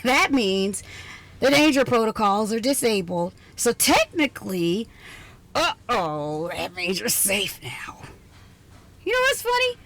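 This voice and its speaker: A woman playing an exhausted, prickly scientist with a soft side in an intimate ASMR roleplay, halting and teasing, often slipping into a whisper. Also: fairly high pitch, big pitch swings, exaggerated voice. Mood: hesitant, tender. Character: exhausted, prickly, passionate female scientist, secretly softening toward intruder. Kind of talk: ASMR roleplay, intimate one-sided dialogue.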